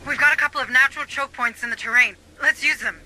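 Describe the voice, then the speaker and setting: Game officer: serious and technical, high male register, sharp urgent edge, music underneath. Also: quick pace, heavily acted, poor audio. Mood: concerned. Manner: urgent, technical briefing delivery. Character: serious, cautious military officer advising marines from base. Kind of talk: military video game voice acting.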